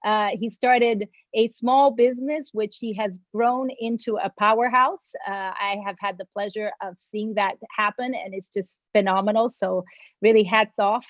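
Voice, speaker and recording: A woman's voice: medium pitch, fairly even intonation, moderate pace, no room sound. A woman who grew up in Mexico, moderating and opening a virtual panel with effusive, gracious thanks to her guests. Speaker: panel moderator and agency founder. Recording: dry, slightly dull video call audio.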